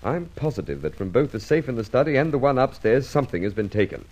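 An actor playing a dogged, earnest police inspector in an old radio serial, speaking formally and in clipped phrases. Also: male voice, mid-range voice, sing-song, fast, darkish tone, low-quality recording. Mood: earnest, rueful. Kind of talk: 1950s-60s radio serial acting.

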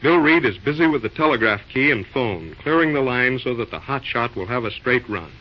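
A man's voice: mid-range voice, animated, fairly quick, a little hiss or hum. Male old-time radio announcer, muffled sound.

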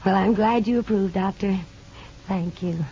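A woman's voice: mid-range voice, a little slow, loud music behind the voice, lightly acted. A woman playing an anxious, affectionate young nurse, hesitant and earnest, in 1950s radio drama style. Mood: guarded, uneasy. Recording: dull, noisy 1950s radio recording.

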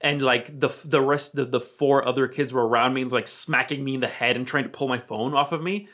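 A young man from Romania speaking with an American accent, his voice sitting at an ordinary middle pitch. He tells a story in loose, casual chat, swearing freely and sinking to a low murmur whenever he quotes his own thoughts. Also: brisk. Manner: animated, swears freely, drops to a low murmur when quoting himself.

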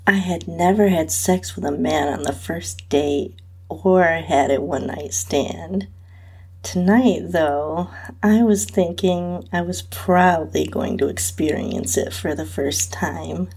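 Husky, low-pitched woman narrating erotica. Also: takes it slowly, darkish tone. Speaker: podcast host and narrator. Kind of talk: first-person erotic audio story narration.

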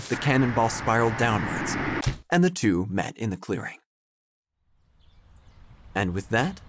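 Actor as a low, deadpan storytelling writer. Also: male voice, slight background noise, natural voice. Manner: deliberate, deadpan, florid. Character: earnest, wordy, bemused male obituary writer narrating. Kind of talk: scripted audio drama narration. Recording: faint music behind.

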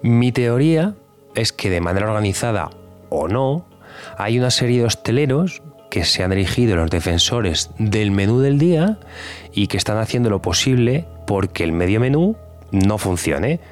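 Actor as an earnest, lowish-voiced male police officer. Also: big pitch swings, moderate pace, soft background music. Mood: convinced, sombre. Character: earnest male Civil Guard officer chasing cold case. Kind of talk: interview testimony in a podcast drama.

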